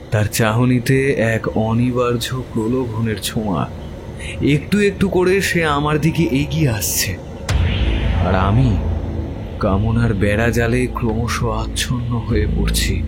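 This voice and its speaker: A young man haunted by his own desires tells his story as a male actor performs him in a brooding, literary horror-audio style. His mid-pitched voice is theatrical, pausing for drama, with prominent music playing underneath. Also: natural voice. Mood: entranced, sensual. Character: young male traveler, haunted, infatuated, uneasy first-person narrator. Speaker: story narrator and character. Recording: voice over loud background music. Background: prominent music bed.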